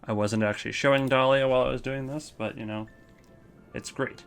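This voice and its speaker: A man's voice: medium pitch, fairly even intonation, fairly quick, gravelly, clear, resonant tone, faint music behind. A man giving casual, rambling commentary on a ranking list as a video host. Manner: rambling, informal.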